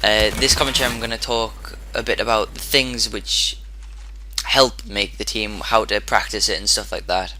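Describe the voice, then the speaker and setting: Man, hoarse sore throat, lowish and very sharp-edged tone, chatty gameplay commentator who rambles and self-corrects. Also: steady tone, normal speed, a little hiss or hum. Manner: rambling, stops and restarts sentences. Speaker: gaming commentator.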